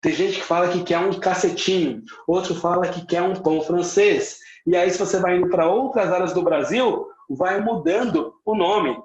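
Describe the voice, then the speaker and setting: A man's voice: high voice, normal speed, hardly any pauses. A Brazilian man with a Brazilian Portuguese accent, an English teacher giving an emphatic motivational lesson, repeating points for stress and dropping in English words. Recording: echoey room, slightly muffled sound.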